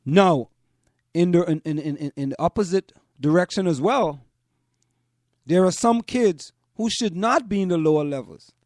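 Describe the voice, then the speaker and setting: A man from Trinidad and Tobago, speaking as a show host in deliberate commentary and repeating phrases for emphasis. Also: high-pitched, darkish tone, no echo, studio quality. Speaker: host of a community parents' talk show. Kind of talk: spoken commentary to listeners.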